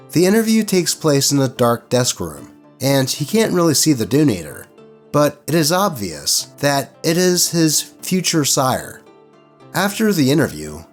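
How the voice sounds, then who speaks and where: A man hosts a story-reading show with an expressive, theatrical delivery. His pitch swings freely as he reads out posts and adds his own asides. Soft music plays behind his narration. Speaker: host narrator of online tabletop horror stories.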